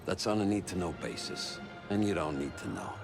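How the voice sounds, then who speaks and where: A very deep, gravelly spy fixer. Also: male voice, very animated, brisk, exaggerated voice. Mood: wry, businesslike. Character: smug, wry old-school spy fixer doing shady deals. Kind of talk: video game cutscene acting. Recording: loud music behind the voice.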